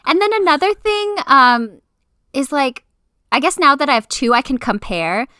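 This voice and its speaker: Chatty high-voiced woman, bright tone. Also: sing-song, normal speed, no echo, clean studio sound. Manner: chatty, filler-heavy with lots of "like". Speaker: podcast co-host. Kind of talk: casual chat.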